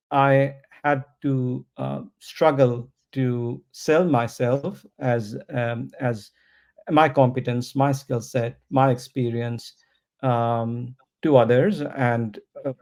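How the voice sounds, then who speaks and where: A man whose first language is not English, answering interview questions about his product in a slow, thoughtful way with many pauses and restarts. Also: mid-range voice, fairly even intonation, a little mellow. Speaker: startup founder, interview guest. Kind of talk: podcast interview.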